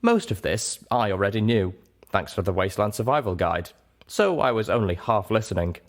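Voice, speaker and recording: A man's voice: fairly low pitch, normal speed. A man reading a first-person story aloud as its narrator. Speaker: story reader.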